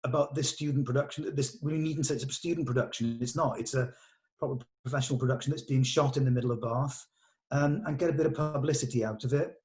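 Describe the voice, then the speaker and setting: A man speaks in a casual meeting style, leading the discussion as a director would. His manner is enthusiastic and encouraging, with an ordinary mid-pitched voice. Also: steady tone, fast, a little mellow. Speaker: director leading a script read-through. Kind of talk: casual production meeting chat.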